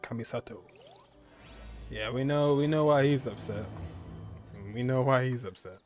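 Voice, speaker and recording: A man's voice: medium pitch, moderate pace, darkish tone. A man giving casual gameplay commentary as a streamer, repeating himself in muttered asides. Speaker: gaming streamer. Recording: faint game music behind.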